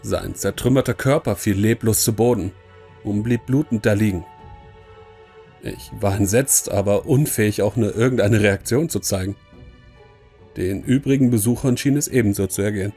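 Low, dark-voiced male horror narrator. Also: moderate pace, soft background music. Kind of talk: scripted horror story narration, first person.

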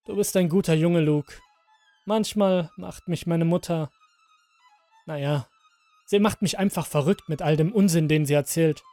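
A man's voice: on the high side, unhurried, dark tone, dry recording, soft background music. A man voicing a breezy, dismissive middle-aged father who talks in a casual, chummy, persuasive way. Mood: warm, apologetic.

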